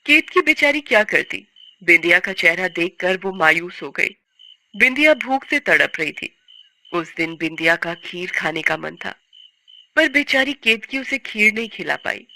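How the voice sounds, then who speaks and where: A woman narrating a scripted story as an expressive, sorrowful storyteller. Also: fairly low pitch, a little slow, creaky, poor audio.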